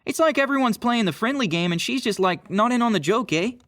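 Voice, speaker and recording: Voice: mid-range voice, expressive, fairly quick, a bit bright, dry recording, natural voice. An actor playing an over-polite cartoon Canadian who speaks hesitantly with a Canadian accent and keeps apologizing. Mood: eager, apologetic. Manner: hesitant, constantly apologizing, tags sentences with eh. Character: over-polite, apologetic Canadian teen stereotype under a spell. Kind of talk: scripted character dialogue.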